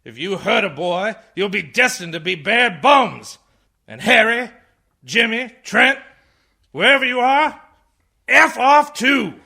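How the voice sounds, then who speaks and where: Gravelly, blustering old man, forceful. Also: very high voice, expressive, slow, full character voice. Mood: blustering outrage. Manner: theatrical, ranting, swings from muttering to shouting. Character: blind, tired old man, blustering indignant orator defending a boy. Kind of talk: radio comedy play parodying a film speech.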